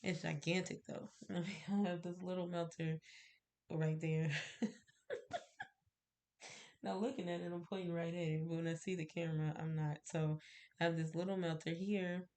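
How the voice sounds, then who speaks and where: A woman's voice: on the low side, steady tone, moderate pace, long pauses, darkish tone. A woman with a stuffy cold, sounding nasal, chatting casually to camera about her candle-making and rambling with fillers and little laughs. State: stuffy, congested.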